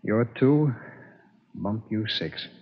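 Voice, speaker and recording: Husky, surly jailed killer. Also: male voice, fairly low pitch, expressive, extremely slow, exaggerated voice. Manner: gruff, terse. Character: surly, tough male convicted killer in a jail cell. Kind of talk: 1950s radio drama acting. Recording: muffled vintage radio recording.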